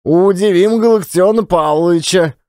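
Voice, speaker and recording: An actor playing a big, brash, rich Siberian gold-mine owner with a bluff, boisterous manner. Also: male voice, sits high, moderate pace, mellow, clear, resonant tone, no room sound, studio quality, pitched above the performer's own voice, natural voice. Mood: boisterous, amused.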